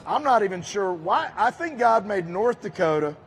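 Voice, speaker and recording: A man's voice: sits high, very animated, moderate pace. A man from Iowa with a Southern US accent, hosting live banter on an expo stage with folksy wisecracks into a handheld mic. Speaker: host. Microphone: handheld microphone.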